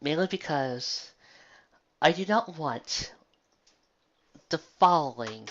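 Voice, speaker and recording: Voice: mid-range voice, animated, slow, dull audio. A theatrical, exasperated host giving a comic dramatic reading of fan fiction, with asides.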